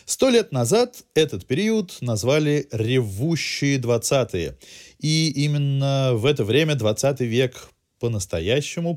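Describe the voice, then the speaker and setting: A man presents scripted culture news as a podcast host. His pitch is mid-range and moves in lively swings, with articulate, literary phrasing throughout.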